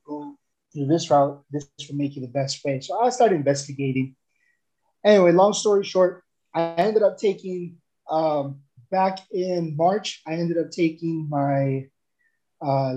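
A man's voice: a little high, dark tone, poor audio. A young man from El Salvador giving a casual, rambling testimonial, full of fillers and restarts.

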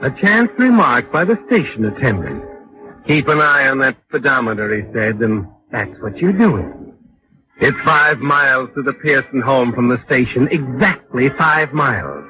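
Male narrator, played by an actor, vintage radio thriller: insinuating, deliberate, mid-pitched, over prominent music. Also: expressive, dull audio, close to an everyday voice. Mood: ominous, insinuating. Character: ominous, knowing, taunting male storyteller addressing the culprit. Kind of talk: 1940s radio suspense narration in second person.

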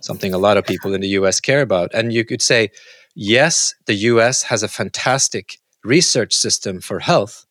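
A man's voice: lowish voice, moderate pace, a bit bright, dry recording. A man from Norway interviewing someone in a conversational way, circling around his phrasing with some hesitation.

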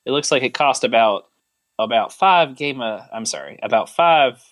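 Male podcast co-host, rambling and joking in a mock-serious way, mid-pitched, dry recording. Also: lively intonation, normal speed, a bit bright, dry recording. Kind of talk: casual chat.

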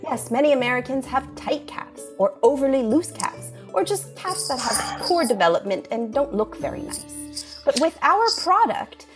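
A woman improvising a parody radio commercial, talking as a mock-earnest expert who pitches a product. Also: sing-song, normal speed, faint music behind. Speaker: improv comedy performer.

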